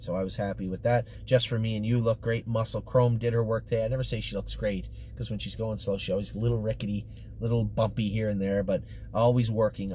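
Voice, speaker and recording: A man's voice: fairly low pitch, mellow. A middle-aged man giving a casual, matter-of-fact update to camera in choppy, informal phrases from inside a car. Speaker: stable commentator giving horse updates. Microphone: camera or phone mounted at arm's length. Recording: clear background noise in a car.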